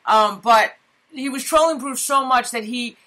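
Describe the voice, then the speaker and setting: A woman from New York co-hosting a talk show, telling stories in quick, profane casual chat. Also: on the high side, sharp tone. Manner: rapid, profane storytelling. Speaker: co-host.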